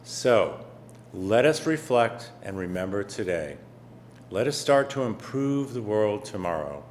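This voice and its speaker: A man giving a formal commemorative speech in a measured, rhetorical way, with repeated phrases. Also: on the low side, very animated, a little mellow, slight background noise. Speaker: official speaker.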